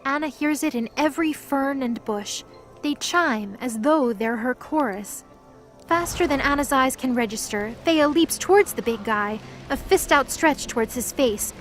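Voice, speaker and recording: A woman with a fairly high voice narrates a scripted fantasy story. Faint music plays behind her narration. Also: a little slow.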